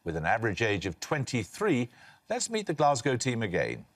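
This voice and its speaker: Brisk older man hosting a quiz. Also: medium pitch, huge pitch swings. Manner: crisp, brisk quizmaster delivery. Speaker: quiz show host. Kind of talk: reading quiz questions and introductions.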